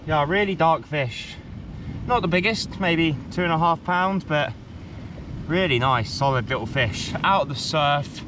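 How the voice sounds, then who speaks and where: A man's voice: fairly high pitch, a little slow. A man talking casually and enthusiastically in slang as a fishing vlogger, recorded outdoors on a rainy seashore with waves behind him. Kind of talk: casual vlog commentary to camera. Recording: loud surf, wind and rain noise. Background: waves and surf.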